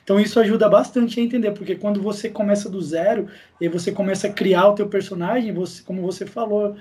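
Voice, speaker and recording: A man's voice: high voice, steady tone, quick pace, a little mellow. A Brazilian man speaking casually as a guest in a podcast interview. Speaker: podcast guest, audio drama creator.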